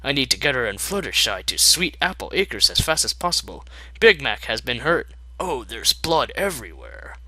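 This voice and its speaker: A man voicing an excitable young female pony, urgent and panicked, in a fan fiction reading. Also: medium pitch, moderate pace, dry recording, full character voice, poor audio. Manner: urgent, shrieking, breathless.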